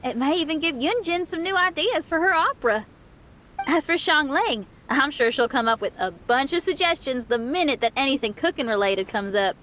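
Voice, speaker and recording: A woman's voice: high voice, lively intonation, normal speed, a bit bright, slight background noise. A woman voicing an upbeat young rock musician in a video game, friendly, breezy and spirited. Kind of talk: video game character voice acting.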